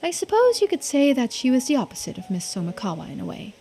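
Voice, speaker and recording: A woman's voice: high-pitched, huge pitch swings, quick pace, warm tone. A woman voicing a composed, courteous keeper of old lore in a video game, explaining things politely. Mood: knowing, composed. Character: calm, knowing, courteous storyteller of mermaid lore. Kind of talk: video game dialogue read aloud in character.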